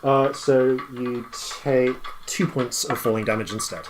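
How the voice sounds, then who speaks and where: Halting game master, a man, faint background noise. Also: mid-range voice, fairly even intonation. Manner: halting, improvised delivery. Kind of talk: narrating a tabletop roleplaying game.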